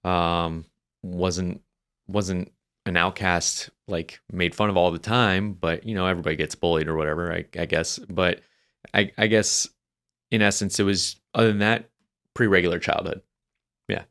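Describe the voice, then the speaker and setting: A man's voice: low voice, fairly even intonation, moderate pace, fairly bright tone, clean tone. A young man, a Navy veteran from New Hampshire, telling his life story as a podcast guest in a rambling, restarting way. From New Hampshire, USA. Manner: rambling, many restarts and fillers. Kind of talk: casual interview storytelling.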